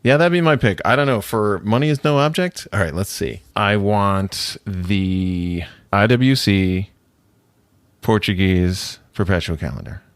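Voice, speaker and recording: Low-voiced, relaxed male co-host; chatty, loose, dry booth sound. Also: a little slow, clear, resonant tone, no room sound. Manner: chatty, rambling, laughs often. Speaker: podcast co-host. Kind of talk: casual chat about watches.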